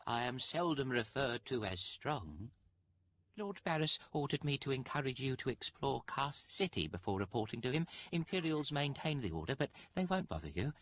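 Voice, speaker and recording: A fawning, fearful slave from a video game. His mid-range voice lurches up and down as he wheedles, servile and halting, desperate to please. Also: medium pitch, big pitch swings, fairly bright tone, slight background noise. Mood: anxious eagerness. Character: cringing, fawning slave, timid and eager to please.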